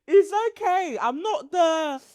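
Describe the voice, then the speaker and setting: Stuffy-nosed woman, lively podcast chat. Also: extremely high pitch, takes it slowly, no room sound, clean studio sound. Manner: lively, laughs often, playful retellings. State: stuffy nose from hay fever. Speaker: podcast co-host. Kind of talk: casual chat.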